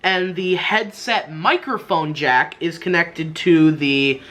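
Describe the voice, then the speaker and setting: A young man hosts a casual how-to tutorial in a bright, forward voice. He explains informally and often circles back to repeat his point. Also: on the high side, slow. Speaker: tutorial host.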